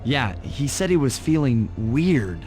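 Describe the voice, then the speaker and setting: A man's voice: medium pitch, big pitch swings, slow, darkish tone. A man voicing a suave young raccoon thief in a video game, laid-back and self-assured. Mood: concerned. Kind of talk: video game cartoon voice acting. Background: music.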